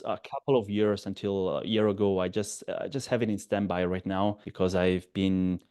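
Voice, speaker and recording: A man's voice: deep voice, steady tone, moderate pace, dark tone, smooth. A man in his thirties from Sardinia with an Italian accent, a guest on an investing interview, speaking with frequent restarts and easy laughs. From Italy, Sardinia. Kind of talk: podcast interview.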